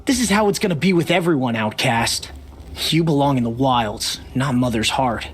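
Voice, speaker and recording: A man's voice: medium pitch, normal speed, slight background noise, lightly acted. Guy voicing cocky young bully in a game, mid voice, mocking, faint ambience behind. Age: young adult. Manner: sneering, mocking. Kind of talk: video game voice acting.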